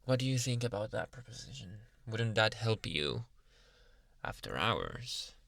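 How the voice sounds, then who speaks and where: A man playing a possessive yandere psychiatrist in an ASMR roleplay, soft-spoken, polite and quietly menacing, with hushed, insinuating questions. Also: lowish voice, sing-song, gravelly, no room sound, studio quality, full character voice. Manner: slow, hushed, insinuating, many pauses and questioning hums. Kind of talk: ASMR roleplay, close whispering.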